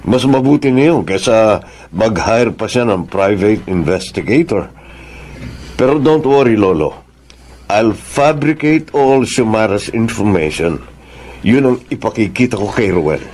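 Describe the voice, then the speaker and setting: A man's voice: on the low side, expressive, measured pace, a little mellow, a little hiss or hum. A Filipino man acting as a probing, scheming confidant in a radio drama. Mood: conspiring.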